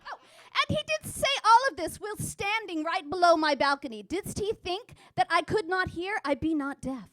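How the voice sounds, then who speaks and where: A woman's voice: very high-pitched, expressive, normal speed, a bit bright, no echo. A woman playing a vain, lovestruck, dramatic thirteen-year-old noble maiden in a comic mock-Shakespearean monologue with modern slang. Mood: exasperated, wailing. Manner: theatrical, exaggerated, indignant.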